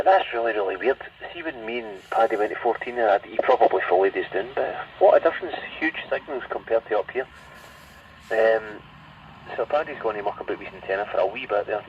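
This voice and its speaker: A man's voice: fairly low pitch, animated, quick pace. A man with a Glasgow-area Scottish accent rambling conversationally over a CB radio, heard through the set's speaker. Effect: CB radio transmission. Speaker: CB radio operator. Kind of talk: casual radio chat. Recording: muffled, distant, noisy CB radio speaker in car.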